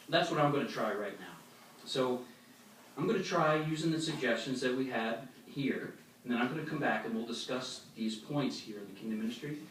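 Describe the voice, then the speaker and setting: A man delivers an instructional religious talk from some distance off the microphone. His voice rings with heavy room echo over faint noise. He explains things plainly, as a speaker addressing a congregation. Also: mid-range voice. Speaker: congregation speaker giving a demonstration. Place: echoey meeting hall before an audience. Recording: echoey hall, distant mic, faint noise.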